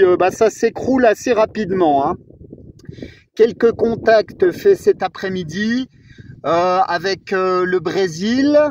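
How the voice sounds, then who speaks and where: A man's voice: high voice, noisy background. A man talking casually as an amateur radio operator during a contact. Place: outdoors at a mountain spot. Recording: dull narrow amateur radio audio.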